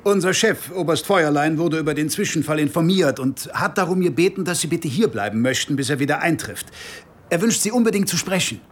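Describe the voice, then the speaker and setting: An actor playing a gnarled, crafty army sergeant in a German radio drama, with a clipped, deferential military manner. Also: male voice, a little high, expressive, a little fast, breathy edge. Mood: firm, formal. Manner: military, clipped, matter-of-fact.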